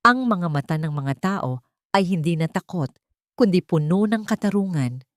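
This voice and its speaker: A woman's voice: fairly low pitch, expressive, moderate pace, dry recording, studio quality. A woman reading scripted dramatic story narration in an earnest, sentimental manner. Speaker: narrator and host of a storytelling channel.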